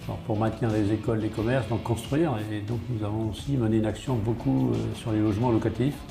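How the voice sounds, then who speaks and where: Man, low pitch, fry in the voice, matter-of-fact explainer, repeats and rephrases, echoey room. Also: steady tone, quick pace. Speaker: small-town mayor being interviewed. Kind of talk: explanatory interview answers. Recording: roomy, echoey sound under guitar music.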